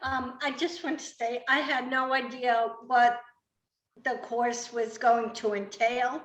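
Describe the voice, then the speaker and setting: A woman's voice: on the high side, steady tone, slow, a bit bright. A woman speaking casually as a guest in a group podcast conversation, in an echoey room. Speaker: writing course graduate, podcast guest. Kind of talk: casual chat.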